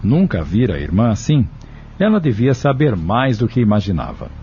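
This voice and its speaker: A Brazilian man narrates an audio novel from a script. His voice is fairly low, mellow and husky. The recording is a touch muffled. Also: very animated, normal speed, slight background noise.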